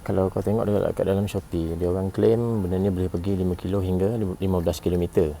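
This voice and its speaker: A Malaysian man with a low, creaky voice and a dark, relaxed tone. He reviews a gadget informally, repeating phrases and adding little tags as he goes. There is faint background noise on the recording. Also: quick pace. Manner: informal, repeats himself, frequent "eh" tags and throat clearing. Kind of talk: casual product review vlog.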